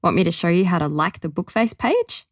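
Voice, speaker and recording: A woman reading the part of a young woman who is teasing and excited, her voice pitched well above her own. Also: fairly quick, no room sound, clean studio sound. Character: lively young woman, cheerful book lover.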